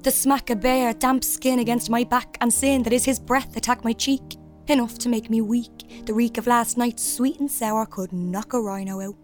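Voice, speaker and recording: A woman's voice: fairly high pitch, steady tone, normal speed, natural voice. An actress playing a young woman delivering a sensual, restless verse monologue in an audio drama. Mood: vivid, intense. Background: faint music.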